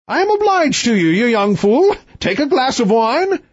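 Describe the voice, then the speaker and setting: A man voicing a hot-tempered old king who blusters and shouts, on a dull lo-fi recording. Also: extremely high pitch, big pitch swings, normal speed, no echo, voice pushed up, heavily acted. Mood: blustering.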